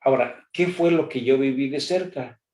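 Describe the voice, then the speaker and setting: An older man with a Mexican accent giving an animated conference lecture on law, firing off rhetorical questions. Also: medium pitch, normal speed. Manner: animated, rhetorical questions, addresses an imagined lawmaker. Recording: echoey room.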